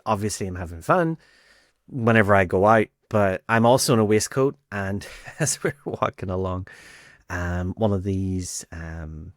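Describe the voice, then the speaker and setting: A man's voice: deep voice, unhurried, dry recording, clean studio sound. A man with a Northern Irish accent telling a personal story casually, in a conversational way, acting out bits of dialogue. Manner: conversational, acts out quoted dialogue.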